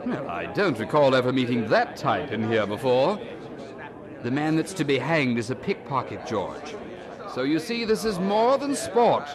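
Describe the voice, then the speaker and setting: An actor playing a hearty, blustering older brother in an 18th-century audio drama, with a husky voice and a condescending manner. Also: male voice, medium pitch. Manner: chuckling, hearty, condescending, stammers when evasive.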